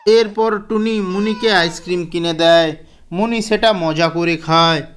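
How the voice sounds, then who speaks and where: A woman's voice: on the low side, unhurried, slight background noise. A woman narrating a scripted children's story as its storyteller.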